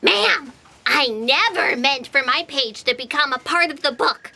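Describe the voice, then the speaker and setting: A guilt-ridden young female intern in a comic audio drama. Her extremely high, bright voice is plaintive and earnest, pleading with melodramatic worry. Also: lively intonation, measured pace, creaky, exaggerated voice. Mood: apologetic.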